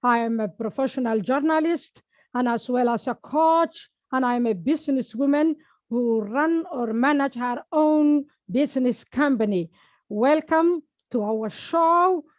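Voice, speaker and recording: A woman's voice: fairly high pitch, no echo, dull audio. A woman from Somalia, a journalist and coach, welcoming viewers on an online group call.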